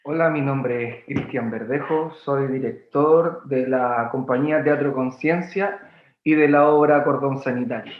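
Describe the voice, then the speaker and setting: A theatre director from Valparaíso, speaking slowly and deliberately. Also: male voice, mid-range voice. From Valparaíso, Chile. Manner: slow, deliberate. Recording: echoey room, muffled lo-fi sound.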